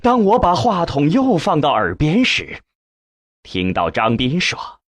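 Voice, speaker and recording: A man's voice: a little high, wildly swooping pitch, measured pace, no room sound. A man narrating a novel as a scripted, dramatic audiobook reading.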